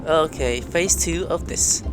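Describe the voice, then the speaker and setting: A woman doing playthrough commentary on a story game, reading dialogue aloud and reacting in a playful, expressive way. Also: low-pitched, slow, music in the background, rough recording. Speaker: gamer playing through a story game.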